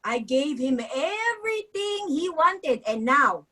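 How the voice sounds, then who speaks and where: A woman's voice: sits high, sing-song, takes it slowly, bright, forceful tone, full character voice. An actress playing a nagging, guilt-tripping older Filipino mother with an accent, dramatic and scolding, in a staged comedy reading. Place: virtual live event, recorded remotely.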